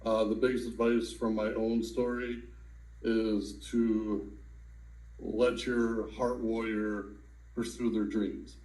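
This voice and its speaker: A man in his thirties calmly sharing his own story in a personal testimonial, with some fillers. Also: fairly low pitch, reverberant, rough recording. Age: 30s. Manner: slow, calm, with fillers. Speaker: adult with congenital heart disease.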